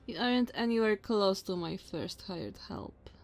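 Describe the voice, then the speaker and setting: Woman doing a tall vampire noblewoman for asmr roleplay, really slow and drawn out, haughty, bossy, mocking, talks all formal. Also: mid-range voice, animated, a little hiss or hum. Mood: condescending. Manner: slow, condescending, theatrical formal diction.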